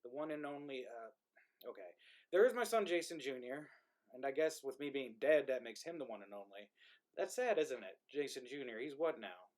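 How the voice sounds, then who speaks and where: A man's voice: mid-range voice, lively intonation, moderate pace, close to an everyday voice. A man playing a dead soldier's ghost in a play reading, wry and resentful, with a conversational, needling delivery. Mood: sardonic. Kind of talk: play reading, modern drama.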